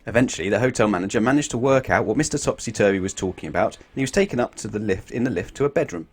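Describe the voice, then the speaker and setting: A man reading a children's storybook aloud in an expressive way, putting questions to his young listeners. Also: fairly low pitch, very fast, breathy edge. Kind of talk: animated children's storybook narration.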